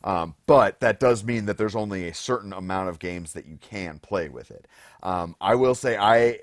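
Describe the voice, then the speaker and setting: A man with a hoarse voice, co-hosting a podcast in casual, wordy chat, thinking aloud as he goes. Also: low-pitched, moderate pace, dry recording, studio quality. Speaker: podcast co-host. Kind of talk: casual unscripted chat about game shows.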